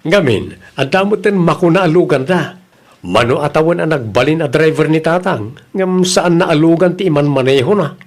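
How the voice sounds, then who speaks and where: Man playing patient husband, audio drama, reassuring, calm manner, lively intonation. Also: on the high side, moderate pace. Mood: considerate. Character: considerate, patient husband and dutiful son.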